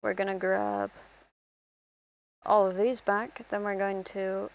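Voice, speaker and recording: A woman's voice: medium pitch, little pitch movement, takes it slowly, gravelly, low-quality recording. A young woman from Ireland rambling through casual gameplay commentary as a streamer, self-deprecating and talking to herself.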